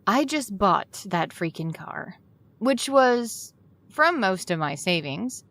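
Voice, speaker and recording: A woman's voice: mid-range voice, very animated, slow, fairly bright tone, heavily acted. An actress playing a weary, frustrated young woman in an audio drama, talking in a casual, exasperated way. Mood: weary, unsure. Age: young adult. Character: weary, frustrated young woman, sarcastic with her best friend.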